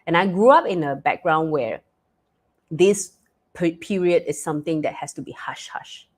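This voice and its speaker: A woman hosting a live talk stream, hoarse and stuffy-nosed, chatty with many fillers and restarts. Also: deep voice, normal speed. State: hoarse, losing her voice; stuffy nose. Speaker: live talk show host.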